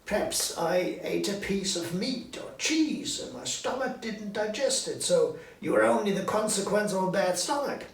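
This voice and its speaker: A frightened old miser from a storybook, performed by a man, pitched high and agitated. He sounds trembling, pleading and excitable. The room echoes and the mic is a little way off. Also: moderate pace, vocal fry, hardly any pauses. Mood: defiant, nervous. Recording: roomy echo, distant mic, background noise.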